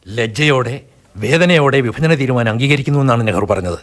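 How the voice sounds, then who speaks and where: A man playing a sober, peace-minded older Sikh man in a Malayalam radio drama, speaking in a grave, measured way and also narrating. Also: medium pitch, expressive, lightly acted. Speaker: narrator and Chani Singh, a Sikh elder.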